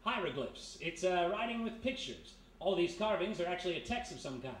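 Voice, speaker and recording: Guy doing smooth old radio private eye, american, wry, roomy stage sound w/ a little noise. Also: high-pitched, sing-song, brisk, a bit bright. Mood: casual. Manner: wry, wisecracking, nonchalant. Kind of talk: 1940s radio mystery acting, live on stage. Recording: echoey live stage, faint noise.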